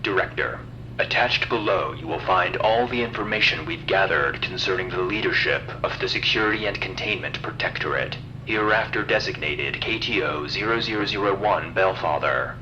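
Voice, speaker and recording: An actor playing a cold, formal intelligence officer dictating a businesslike report. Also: male voice, deep voice, moderate pace, lightly acted. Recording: dull radio-like audio, clear background noise.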